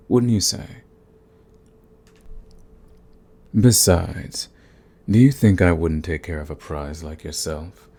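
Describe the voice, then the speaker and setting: Man acting a deep, slow, menacing vampire lord. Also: creaky, darkish tone, exaggerated voice. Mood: taunting, possessive. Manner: menacing, silky, taunting, formal and deliberate. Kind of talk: ASMR roleplay audio drama.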